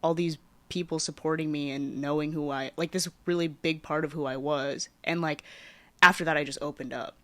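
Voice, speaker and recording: A young woman hosting a podcast, telling personal stories in a chatty way full of fillers and soft trailing phrase ends. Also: sits low, fairly even intonation, a little fast, no room sound. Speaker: podcast host. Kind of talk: casual personal storytelling.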